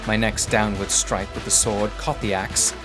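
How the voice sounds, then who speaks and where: A man narrating a first-person adventure story in a measured, formal, literary manner. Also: on the low side, steady tone, over music.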